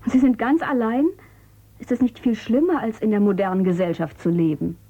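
A woman's voice: on the high side, lively intonation, brisk, warm tone, dull audio. An actress playing a defiant, cool-headed girl of eighteen in a radio drama, with a pert, questioning manner. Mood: cool, probing. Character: defiant, cool-headed eighteen-year-old female space tourist. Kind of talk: German radio drama acting.

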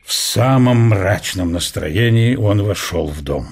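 A man narrating a literary radio drama in an expressive scripted reading. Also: lowish voice, takes it slowly, breathy edge.

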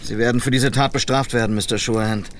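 A man's voice: medium pitch, steady tone, fast talker, slight background noise, lightly acted. An actor playing an upright, formal city policeman out West in a German radio play. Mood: firm, formal. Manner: earnest, correct, formal.

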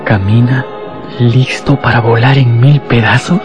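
An actor playing a probing, incredulous comrade in a radio drama. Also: male voice, medium pitch, steady tone, measured pace, close to an everyday voice. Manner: inquisitive, incredulous. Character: incredulous, probing male comrade questioning a fanatic. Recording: loud music behind the voice.

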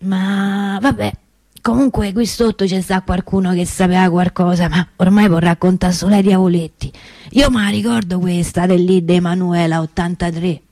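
An actress playing a middle-aged working-class Roman souvenir seller in a theatrical monologue, rambling and confiding in a colloquial, indignant way. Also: female voice, lowish voice, steady tone, normal speed, warm tone, dry recording. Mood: wry reminiscing. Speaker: stage and radio actress.

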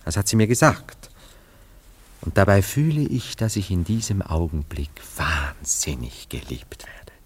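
A man's voice: deep voice, expressive, measured pace, slightly rough, exaggerated voice. An actor in a radio play, playing a nostalgic, self-absorbed romantic man who muses theatrically. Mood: rapt, nostalgic. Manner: wistful, musing, theatrical, savoring his words. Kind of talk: German radio play acting.

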